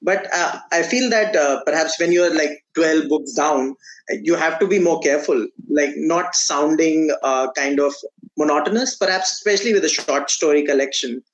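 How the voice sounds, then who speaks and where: A man hosting a book podcast, asking hesitant questions with frequent fillers and pauses. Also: fairly high pitch, rough recording. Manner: hesitant, many fillers, frequent agreeing backchannels. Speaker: podcast host. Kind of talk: casual interview questions.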